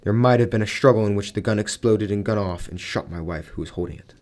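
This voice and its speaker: A man's voice: lowish voice, fast, darkish tone, natural voice. A man acting a proud, overbearing wealthy man in a radio drama, formal and domineering in manner. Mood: stern, sober.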